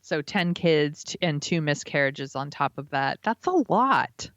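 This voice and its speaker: A woman's voice: sits low, lively intonation, measured pace, fairly bright tone, no room sound. A woman co-hosting a podcast, reacting in casual chat with a blunt, sweary, exclamatory manner, on slightly dull audio. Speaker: podcast co-host.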